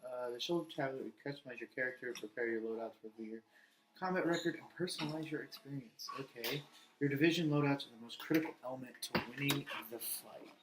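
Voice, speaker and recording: A man's voice: mid-range voice, fast, background noise. A man hosting a gaming video with casual, rambling commentary, sometimes muttering along with what is on screen.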